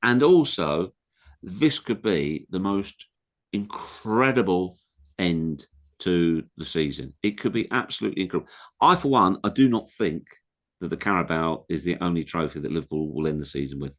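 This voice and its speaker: A man's voice: deep voice, animated, normal speed, a bit bright. A man talking as a guest on a football interview show, earnest and reflective, stressing his points. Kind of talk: casual interview chat.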